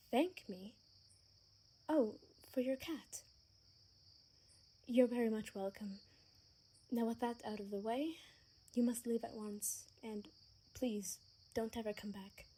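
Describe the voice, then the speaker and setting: Sheltered vampire daughter, played by a woman: gentle, young, unhurried and warm-toned, for audio roleplay. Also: a little high, breathy edge. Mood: anxious, urgent.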